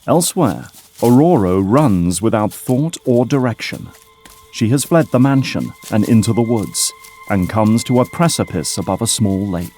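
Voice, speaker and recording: A man's voice: lowish voice, expressive, normal speed, dark tone. A man giving dramatic scripted narration for an audio drama. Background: dramatic music.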